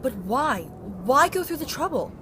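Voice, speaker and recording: Lively-pitched gentle young woman. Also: normal speed. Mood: bewildered. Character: gentle, anxious, sweet young woman in danger. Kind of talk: sci-fi audio drama acting. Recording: clear ambient noise behind.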